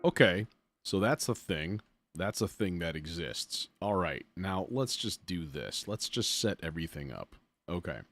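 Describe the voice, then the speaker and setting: A man with a low voice talks through a music software demo on a livestream. He is informal and a bit self-deprecating, often muttering to himself as he works things out. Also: animated, measured pace. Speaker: livestream host, music producer demonstrating software. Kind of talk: casual livestream demo, thinking aloud.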